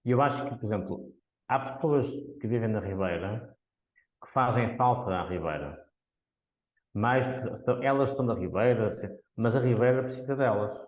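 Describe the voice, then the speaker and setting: A man from Porto with a Porto accent, an economist guest on a podcast, explaining his views in a measured way with frequent restarts. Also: on the low side, lively intonation, roomy sound, highs cut off. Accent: Portuguese, Porto. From Porto, Portugal. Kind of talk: podcast interview.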